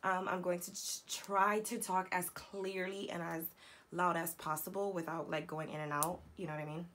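A young woman beauty vlogger with a hoarse, raspy voice after losing it, chatting casually and slangily about makeup at home. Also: lowish voice, fairly even intonation, normal speed, fairly bright tone. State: hoarse, lost voice. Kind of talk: casual chatty product talk. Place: home beauty room, indoors.

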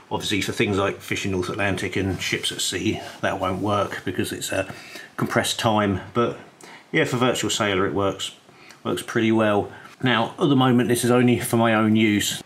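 Low-pitched man giving a casual demo. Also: fairly bright tone, a little hiss or hum. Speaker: app developer demonstrating his program. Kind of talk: casual screen demo narration.

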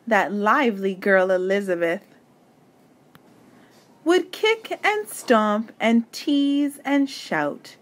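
High-voiced woman reading a storybook very slowly. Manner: deliberate, dramatic phrasing with emphatic pauses. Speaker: storybook reader. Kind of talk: children's picture-book read-aloud.

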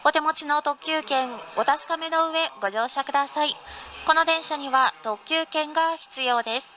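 A woman's voice: sits high, normal speed, sharp tone. A woman reading a scripted in-car train announcement in a formal, polite manner. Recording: noisy train ambience recording.

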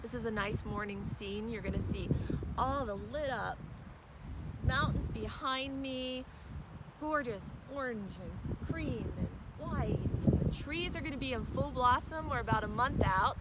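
A woman's voice: on the high side, unhurried, heavy background noise. A woman hosting a casual video tour outdoors in the mountains, speaking in an upbeat, welcoming way. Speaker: host welcoming painters.

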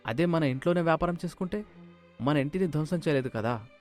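A man's voice: fairly high pitch, expressive, brisk, soft background music, natural voice. A man voicing a supportive, practical middle-class husband in a moral story, speaking reassuringly.

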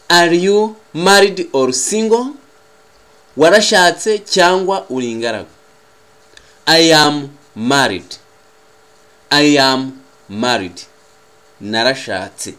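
A man with a Rwandan accent, a native Kinyarwanda speaker, teaching a language lesson in a deliberate, carefully enunciated way as he repeats phrases. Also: a little high, expressive, fairly bright tone. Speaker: English teacher.

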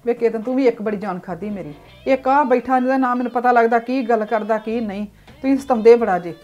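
A woman's voice: on the high side, quick pace, a little mellow, highs cut off, faint music behind, lightly acted. A woman playing a village mother who scolds and nags her sons. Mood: scolding. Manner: scolding, nagging. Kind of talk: village comedy sketch acting.

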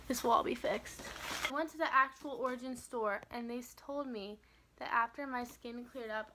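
A woman's voice: fairly high pitch, a bit bright, slight background noise. A young woman chatting casually to camera in a bedroom, rambling and drifting off into asides as she shows her shopping. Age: young adult. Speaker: vlogger. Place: small bedroom, indoors.

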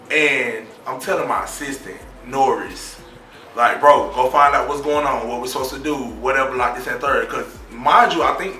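A man gives a confessional for a reality show, bragging and blunt in loose, profane talk. His voice is bright and forward. It sounds a bit distant in an echoey room, with music playing softly underneath. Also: mid-range voice. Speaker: reality show cast member, rapper. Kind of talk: confessional interview. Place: studio seat before a screen backdrop.

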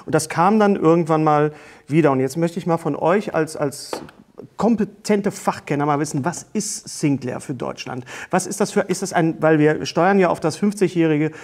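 A middle-aged man hosting a casual interview, enthusiastic and quick to interject. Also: on the high side, a little mellow. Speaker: talk show host. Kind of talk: lively casual interview chat. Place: small indoor studio set, seated at a table.

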